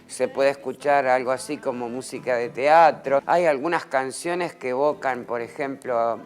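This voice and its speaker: A man with a mid-range voice talks thoughtfully about the play he made, pausing often with "eh". Faint music plays underneath. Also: mid-range voice. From Argentina. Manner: thoughtful, with frequent "eh" pauses. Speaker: creator of the play, interviewed. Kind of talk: explanatory interview talk.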